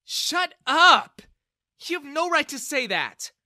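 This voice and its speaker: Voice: mid-range voice, sing-song, unhurried, sharp tone, no room sound, clean studio sound, pitched above the performer's own voice. A narrator voicing an earnest, protective, fiery young woman in a dramatised audio story, with an emotional manner. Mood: angry. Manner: emotional, indignant, pleading. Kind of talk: dramatised first-person web-novel audio story.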